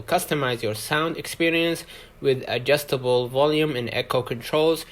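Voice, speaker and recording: A man with a mid-pitched voice presents a product for an online video. He reads scripted promotional lines haltingly, breaking them into short phrases. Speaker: product review video host.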